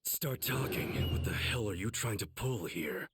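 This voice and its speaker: A man playing a hot-tempered, mocking young swordsman in an anime game, with sneering, drawn-out taunts. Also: lowish voice, animated, heavy background noise. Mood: mocking menace. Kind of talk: English anime game voice acting.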